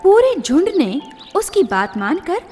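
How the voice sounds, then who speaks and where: A woman storyteller reading scripted children's tales in a deliberate, expressive manner. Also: very high voice. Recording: long reverb, music behind.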